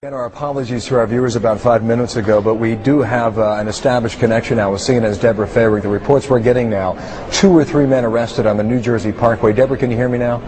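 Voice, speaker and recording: A man's voice: medium pitch, steady tone, fast talker, darkish tone, low-quality recording. A man anchoring a live breaking news broadcast.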